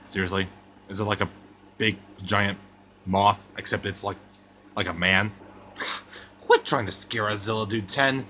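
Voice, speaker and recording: A man's voice: lowish voice, steady tone, measured pace, pauses often, sharp tone, a little hiss or hum. A man playing a sceptical, uneasy monster teammate, speaking plainly and doubtfully in an amateur story roleplay.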